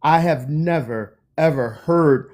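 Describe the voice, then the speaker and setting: A man's voice: on the high side, slow, a little mellow. A man in his fifties, a guest on a livestream, talking about politics in an earnest, emphatic way. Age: 50s. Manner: earnest, emphatic, preaching cadence. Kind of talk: impassioned political livestream talk.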